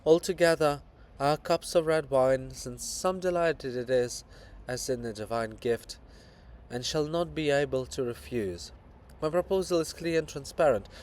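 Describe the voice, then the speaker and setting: A man's voice: on the high side, measured pace, a little mellow, close to an everyday voice. Guy doing a sly poet monument in a stage farce, grand emphatic talk, coaxing, slight background noise. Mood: persuasive, sly. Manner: theatrical, emphatic, swooping.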